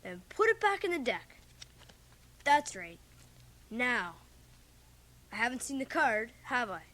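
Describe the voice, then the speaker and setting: Bright, high-pitched earnest teenage boy. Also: sing-song, takes it slowly, heavily acted. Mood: eager, showy. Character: earnest, stubborn fourteen-year-old boy, amateur magician. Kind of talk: radio drama acting. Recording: noisy cassette transfer of 1980 radio drama.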